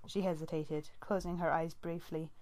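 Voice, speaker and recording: A woman's voice: sits low, flat delivery, moderate pace, darkish tone. A woman narrating a novel as an amateur audiobook reader, reading from the text.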